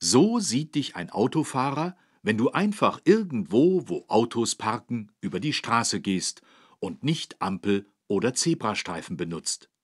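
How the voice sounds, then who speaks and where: A man playing a patient, friendly instructor, narrating for children in an encouraging, didactic way with a husky voice. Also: on the low side, no echo, studio quality, full character voice. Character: friendly, patient adult man teaching children road safety. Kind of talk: scripted children's educational narration.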